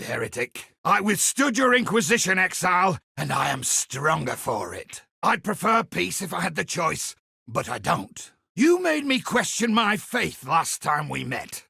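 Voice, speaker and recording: A man voicing a zealous, wry templar priest in a video game, with a gravelly, raspy voice and a preachy, theatrical delivery. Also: high-pitched, pushed and bright, dry recording, studio quality, full character voice. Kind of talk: video game character voice acting.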